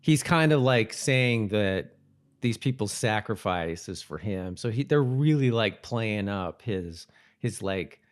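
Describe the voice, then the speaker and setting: A man with a slightly low voice chats in a loose, colloquial way. He comes across as a podcast co-host going over an episode with a friend. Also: expressive, slow. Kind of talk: casual chat recapping a TV episode.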